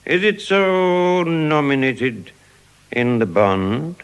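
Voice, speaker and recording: Vengeful gloating moneylender, swooping theatrical delivery. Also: male voice, a little high, sing-song, drawn-out words, clean tone, exaggerated voice. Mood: coldly gloating. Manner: theatrical, emphatic, savoring each phrase. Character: vengeful, gloating male moneylender demanding his bond. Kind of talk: classical Shakespearean stage acting.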